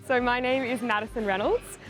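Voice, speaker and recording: A woman's voice: fairly high pitch, steady tone, brisk, rough recording. A woman trail runner from Australia with an Australian accent, chatting candidly in an interview. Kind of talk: casual interview. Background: music.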